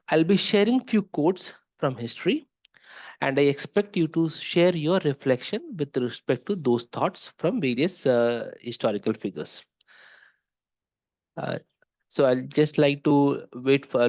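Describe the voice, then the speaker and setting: A man's voice: medium pitch, a little mellow, no room sound. A man hosting a speaking-club meeting on a video call, explaining the rules in an orderly way with a few light fillers. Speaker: meeting host leading impromptu speaking.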